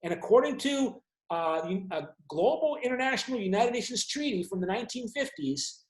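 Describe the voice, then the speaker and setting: A man's voice: very high-pitched, lively intonation, moderate pace, reverberant, poor audio. A man, a university lecturer, explaining at length in an online class and often checking in with his students. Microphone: video call.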